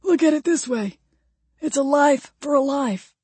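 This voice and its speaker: A woman's voice: high voice, animated, normal speed, mellow, husky, no echo, voice pushed up. A woman voicing a brash, vengeful redneck man who demands to become a vampire, in an audiobook story. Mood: insistent, pleading.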